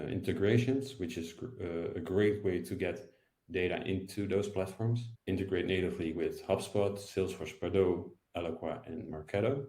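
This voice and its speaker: A young man presenting an explanatory software tutorial in a webinar over a video call. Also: low-pitched. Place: indoor room, video call. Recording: roomy echo.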